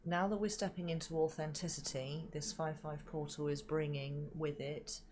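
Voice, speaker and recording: A woman's voice: low voice, fairly even intonation, moderate pace, darkish tone, slight background noise. A woman giving a rambling spiritual reading with many fillers and casual swearing, sounding stuffy and sniffly from hay fever. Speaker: spiritual reader.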